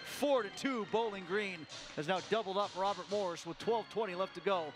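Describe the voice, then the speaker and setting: A man calling a live hockey game play by play, quick calls that jump up on every goal. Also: high-pitched, over music. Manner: rapid calls that rise sharply on goals. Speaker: play-by-play announcer.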